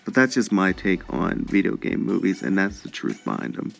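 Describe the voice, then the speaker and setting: Male commentary host: very low voice, animated swings in pitch, emphatic podcast-style delivery. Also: moderate pace, gravelly, faint music behind.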